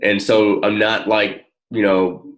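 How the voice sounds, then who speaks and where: A man speaking informally and encouragingly as a coach on a one-on-one call, often halting as he finds his words. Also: on the low side, level tone, a bit bright. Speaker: network marketing coach.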